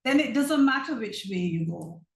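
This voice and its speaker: A Barbadian woman reading the replies of a calm, riddling storybook cat in a matter-of-fact way. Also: fairly high pitch, moderate pace, gravelly, far from the mic. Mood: wry. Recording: roomy echo.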